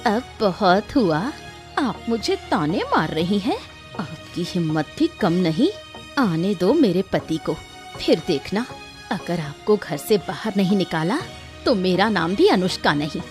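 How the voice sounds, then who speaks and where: A woman's voice: on the low side, sing-song, a little slow, a little mellow, faint music behind. A woman voicing a cruel, bossy young daughter-in-law with a scornful, scolding manner in an acted story. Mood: accusing, angry. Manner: scolding, taunting. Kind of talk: Hindi audio story acting.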